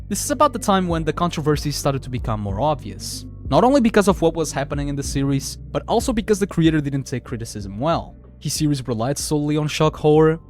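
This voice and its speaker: A man's voice: fairly high pitch, darkish tone. A man narrating a scripted video review of a horror series as its host.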